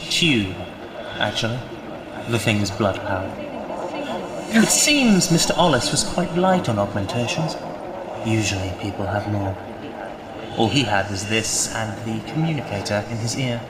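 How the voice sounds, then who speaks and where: A man voicing a patronising, wry scientist in an audio drama, measured and condescending. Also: fairly low pitch, sing-song. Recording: echoey with loud background noise.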